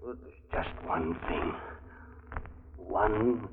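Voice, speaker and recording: An actor playing a grimly resigned, vengeful dying man in a 1940s radio drama, speaking slowly and deliberately. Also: sits low, long reverb tail. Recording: muffled noisy 1940s radio recording.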